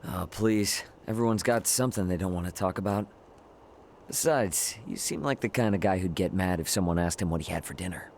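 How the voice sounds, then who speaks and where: A man's voice: sits low, fairly quick, creaky, darkish tone, a little hiss or hum. An actor playing a brash, easygoing young male spacefaring adventurer in a video game, with a casual, teasing manner.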